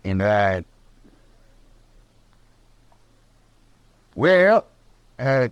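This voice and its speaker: A man playing a proud, gruff old rancher in an old-time radio western, blunt but halting, with an American accent. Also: medium pitch, sing-song, dry recording, muffled recording. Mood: regretful. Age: older.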